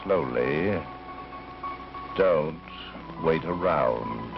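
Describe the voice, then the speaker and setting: An actor with an American accent playing an ominous horror host, sinister and deliberate, in old-time radio style. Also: male voice, rumbling low, animated, creaky, exaggerated voice. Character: ominous male horror host warning the listener. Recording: muffled old radio recording. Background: loud music.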